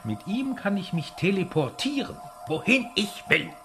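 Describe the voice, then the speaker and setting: An actor playing a megalomaniac mad scientist villain in a radio play, with theatrical, mock-courteous, drawn-out delivery. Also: male voice, high-pitched, sing-song, over music. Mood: gloating, mocking.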